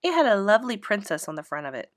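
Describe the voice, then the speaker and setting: Casting: female read-aloud storyteller for children's books, expressive first-person child narration, very lively intonation, dry close sound. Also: medium pitch, fast, no room sound.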